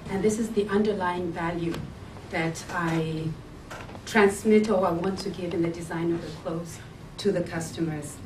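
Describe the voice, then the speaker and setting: A woman from Zambia pitching her business plan, hesitating often with ums and uhs. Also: fairly even intonation, moderate pace. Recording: echoey room, mic far off, faint hiss.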